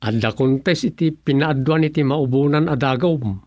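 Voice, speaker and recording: A man's voice: mid-range voice, moderate pace, darkish tone, dry recording, clean studio sound, lightly acted. A man acting a confident village man in a radio comedy, with an Ilocano accent. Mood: matter-of-fact.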